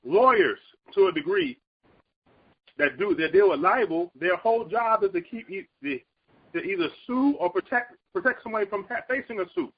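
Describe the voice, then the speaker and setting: A man with a high, husky voice gives an earnest Bible teaching in a relaxed conversational way. He speeds up and lifts his pitch when he makes a point. The recording is muffled and lo-fi. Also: normal speed.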